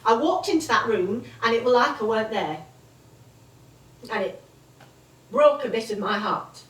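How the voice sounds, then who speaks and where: Actress as animated, worried Northern English daughter. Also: female voice, a little high, normal speed. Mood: worried, pleading. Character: comedienne's adult daughter, worried, caring, pleading. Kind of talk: theatrical stage acting. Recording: echoey theatre, distant mic.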